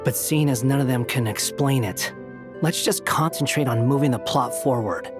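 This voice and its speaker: A man's voice: mid-range voice, moderate pace, natural voice. A man voicing a thoughtful, matter-of-fact adventurer in a video game. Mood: pragmatic. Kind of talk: video game character acting. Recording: music behind.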